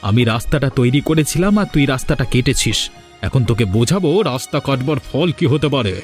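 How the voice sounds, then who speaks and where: A man's voice: mid-range voice, expressive, brisk, faint music behind, natural voice. A man voicing an earnest, hardworking, wronged village man in a cartoon. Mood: outraged. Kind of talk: cartoon voice acting.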